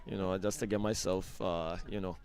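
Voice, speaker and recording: A young man from Guyana, a cricketer guest, talking in a relaxed, halting way with fillers on a match commentary. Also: low voice, clear, resonant tone. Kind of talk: casual interview on commentary.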